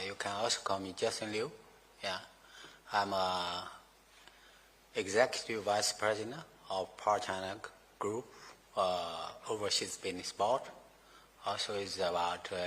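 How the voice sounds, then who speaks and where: A man giving formal remarks as a company executive in halting English, full of fillers and grammar slips. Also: low voice, sharp tone. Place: formal meeting room. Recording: reverberant meeting hall.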